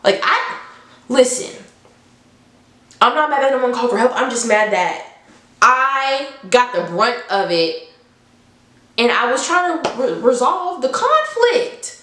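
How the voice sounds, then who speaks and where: A woman's voice: high voice, very animated, unhurried, pauses often, fairly bright tone. Young woman storyteller in an echoey room. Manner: emphatic, exasperated, repeats phrases for effect; informal slangy speech, acts out dialogue. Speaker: storytime vlogger. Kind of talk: casual animated storytelling.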